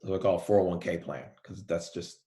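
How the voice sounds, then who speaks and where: A male college professor giving a relaxed, soft-spoken lecture online, with many fillers. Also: deep voice, quick pace, echoey room.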